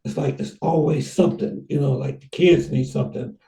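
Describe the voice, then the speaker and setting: Gravelly, dark-voiced man in an echoey room. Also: medium pitch, fairly even intonation, recorded from a distance. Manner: rambling, halting, many restarts. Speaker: host. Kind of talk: casual conversation.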